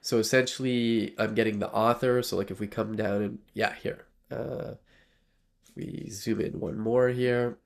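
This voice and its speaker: A man's voice: lowish voice, fairly even intonation, measured pace, vocal fry. A man with a nasal voice from a cold, talking casually as he streams his coding work, rambling with lots of fillers and restarts. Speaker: livestreaming developer. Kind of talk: casual live coding talk.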